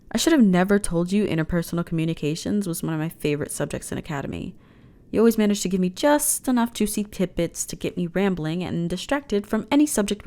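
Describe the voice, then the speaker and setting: A woman playing a flirty, reassuring alien starship officer in an intimate ASMR roleplay, talking in a chatty, teasing way. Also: fairly low pitch. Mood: teasing, coaxing. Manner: teasing, chatty, conversational with sighs and soft laughs.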